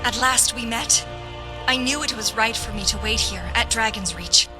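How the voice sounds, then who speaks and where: Woman acting an earnest high-voiced young noblewoman soldier. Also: moderate pace, close to an everyday voice. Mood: earnest, persuasive. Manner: formal, earnest, persuasive. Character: earnest young noble lady, patriotic Imperial legion officer. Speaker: voice actor in a fantasy game quest mod. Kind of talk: scripted fantasy game dialogue. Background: background music.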